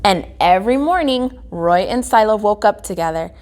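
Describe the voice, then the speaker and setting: A woman reading a children's picture book aloud as a guest reader, phrasing it deliberately with emphasis. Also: medium pitch, animated, darkish tone. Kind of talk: children's storybook read-aloud.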